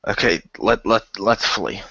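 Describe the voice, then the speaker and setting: A man playing a doubtful, low-key hero in an amateur radio play. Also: unhurried, gravelly, sharp tone, breathy edge, no echo, highs cut off, exaggerated voice. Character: doubtful, low-key male hero in space ranger form.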